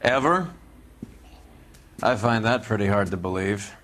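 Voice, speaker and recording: An actor playing a gruff, cynical police detective of the 1940s in a crime game, dry and sardonic. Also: male voice, on the low side, lively intonation, a little slow, a bit bright, slight background noise. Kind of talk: video game acting, period crime drama. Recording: clear music behind the voice.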